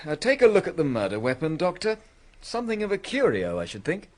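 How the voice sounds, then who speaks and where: Police inspector, radio drama, fairly high voice, lively intonation, polite, probing. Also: male voice, fairly quick. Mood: inquiring. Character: courteous, methodical male police inspector on a case.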